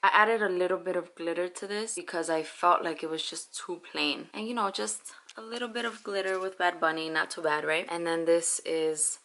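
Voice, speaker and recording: A woman's voice: lowish voice, fairly even intonation, normal speed, hardly any pauses, a bit bright. A woman whose first language is Spanish, chatting casually as a small business owner and explaining her craft work step by step. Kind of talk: casual vlog chat.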